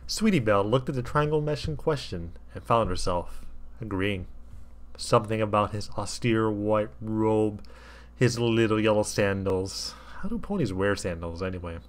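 A man's voice: on the low side, normal speed. A man reading a comic story aloud with wry, mock-earnest delivery. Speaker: fan fiction reader.

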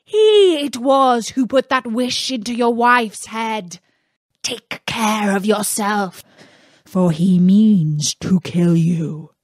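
A woman voicing an enraged male genie of the lamp, booming and indignant in a storybook reading. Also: animated, slow, no echo, studio quality. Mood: furious.

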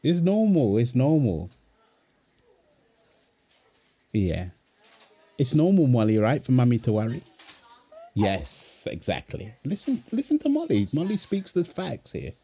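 A man's voice: mid-range voice, wildly swooping pitch, normal speed, dark tone, breathy edge, heavily acted. A man playing a tender, playful young husband and doting father in an ASMR roleplay, soothing and teasing as he talks. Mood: gentle, reassuring. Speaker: voice actor of a roleplay audio. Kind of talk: ASMR boyfriend roleplay, acted one-sided dialogue.

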